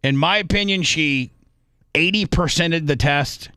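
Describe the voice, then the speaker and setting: A man's voice: a little high, measured pace, sharp tone, clean tone, no echo. A man hosting a talk show with heated, opinionated commentary, blunt and crude, repeating phrases for emphasis.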